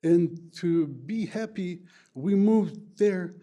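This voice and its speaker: An older man from the former USSR, tongue Plattdeutsch, speaking English in halting public comment at a county board meeting, full of fillers and broken grammar. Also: sits high, animated, warm tone. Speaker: resident giving public comment.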